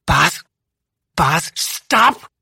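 An audiobook reader voicing a bold, fiery young woman fighter who swears freely, with raised pitch and an urgent, pleading delivery. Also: big pitch swings, extremely slow, creaky, sharp tone, breathy edge, no echo, studio quality, full character voice. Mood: desperate. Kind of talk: audiobook character voice.

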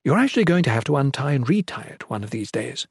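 Audiobook role: young male acolyte, played by a male narrator, raised pitch, prim, educated, exasperated, quick to scold. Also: sing-song, vocal fry, slightly rough, dry recording, clean studio sound, full character voice. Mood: bluntly prim. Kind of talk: audiobook character voice.

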